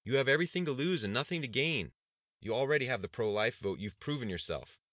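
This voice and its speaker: A man's voice: medium pitch, lively intonation, rapid-fire, a bit bright, no echo, clean studio sound, pitched above the performer's own voice, natural voice. A man reading a novel aloud, voicing a male cabinet member anxiously objecting to his governor. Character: cautious male cabinet member, pushing back.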